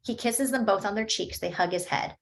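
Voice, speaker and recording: A woman reading stage directions at a screenplay table read in a steady, matter-of-fact way. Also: medium pitch, a little fast.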